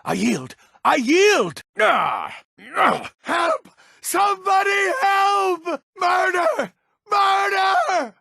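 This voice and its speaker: An actor playing a grumpy, pessimistic old male alchemist and shopkeeper in a fantasy video game, curt and exasperated, with a gravelly rasp. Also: very high-pitched, very animated, extremely slow, pushed and bright, dry recording, shouting, clean studio sound, full character voice. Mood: frightened, pleading. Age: older. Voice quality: gravelly, rasping.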